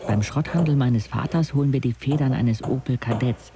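Male actor as teenage boy narrator, radio drama, observant, sardonic, frank, medium pitch, noisy background. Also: normal speed, close to an everyday voice. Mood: matter-of-fact. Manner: reflective, articulate, frank.

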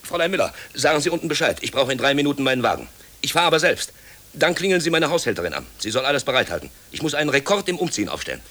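A busy company director in a radio play. He talks fast in clipped, commanding bursts, and his pitch swings widely as he reckons and orders. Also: very animated, a bit bright. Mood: brisk, commanding. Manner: clipped, commanding, calculating aloud. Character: brisk, busy male company director, hurried and self-important. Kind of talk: German radio play acting.

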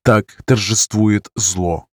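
A man's voice: lowish voice, fairly even intonation, takes it slowly, no room sound, studio quality. A man reading scripted, dramatic narration as an audiobook narrator.

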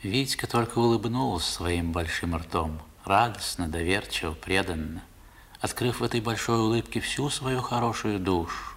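A man's voice: fairly low pitch, moderate pace, noisy background, lightly acted. A man playing a reflective narrator recalling his boyhood in a 1960s radio play. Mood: wistful. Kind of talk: dramatic first-person storytelling in 1960s radio play.

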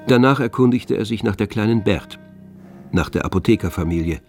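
Man as low-voiced, wry literary narrator. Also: fairly quick, a little mellow, faint music behind, lightly acted. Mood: detached. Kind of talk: scripted radio drama narration.

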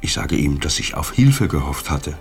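An actor playing a despairing, middle-aged former secret police officer in a radio play, speaking haltingly in broken-off sentences. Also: male voice, extremely low pitch, sing-song, soft background music. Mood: troubled, recounting. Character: despairing former East German Stasi officer, seeking absolution. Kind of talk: German radio play acting.

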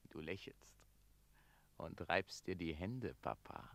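An actor playing a mayor's observant adult son in a radio play, quietly questioning his father. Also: low-pitched, animated, takes it slowly, creaky, heavily acted. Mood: probing, hushed. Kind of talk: German radio play acting.

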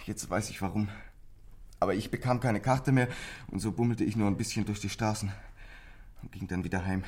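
Husky, troubled teenage boy. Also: on the low side, steady tone, a little mellow. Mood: anxious. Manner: intense, halting, emotional confession. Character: troubled teenage boy, bitter, frightened, desperate. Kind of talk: German radio crime drama acting.

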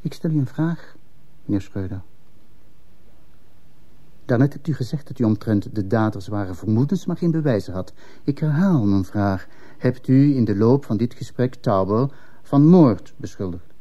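An actor playing a stern, probing male police commissioner in a radio play, questioning in a formal, measured manner. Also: mid-range voice, animated, mellow, natural voice. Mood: stern, pressing. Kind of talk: Dutch-language radio drama acting.

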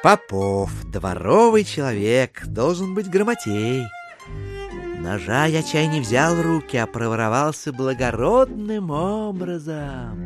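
An actor playing a shrewd gentleman musing aloud in a theatrical audiobook monologue, drawling and mockingly familiar. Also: on the high side. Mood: amused, musing. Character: Chichikov, shrewd gentleman musing aloud over dead serfs.

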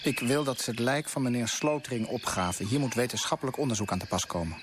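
A resolute colonial official, acted by a man in a radio play, speaks in formal, firm declarations. His pitch sits in the ordinary male middle. There is clear ambient noise behind the voice. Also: quick pace, lightly acted. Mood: resolute, urgent. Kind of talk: Dutch radio play acting.

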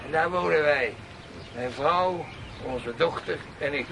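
Humble middle-aged woodcutter, high-pitched grumbler, garrulous, radio play with clear background noise. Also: male voice, unhurried. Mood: eager hospitality. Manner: plaintive, garrulous. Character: humble, grumbling, easily amazed peasant woodcutter with grown daughter. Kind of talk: Dutch radio drama acting. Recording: noisy radio play with outdoor ambience.

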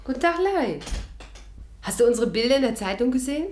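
Woman acting bold spirited heroine, highish voice full of swings, 50s radio play, theatrical. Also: expressive, fast talker, darkish tone, a little hiss or hum, natural voice. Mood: elated. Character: plucky, bold, high-spirited adventuress heroine. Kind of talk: 1950s German radio drama acting.

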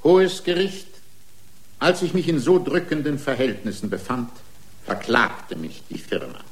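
A radio drama defendant played by a man, mid-pitched and full of grievance. He pleads his own case in formal, long-winded rhetoric, excitable and indignant as he builds his argument. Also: a little slow, close to an everyday voice. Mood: aggrieved, explaining. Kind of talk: radio drama acting, courtroom speech.

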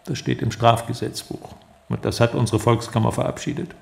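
An actor playing a cold, pedantic East German interrogator, officious and deliberate, in a radio play. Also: male voice, medium pitch, flat delivery, creaky, a little mellow. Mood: cold, menacing.

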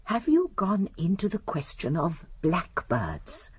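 An actress playing a very old, genteel English lady detective in a radio drama, politely deferential yet gently persistent with pointed questions. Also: fairly low pitch, wildly swooping pitch, slow pace, slightly rough, dry recording, full character voice. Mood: deferential, insistent. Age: older.

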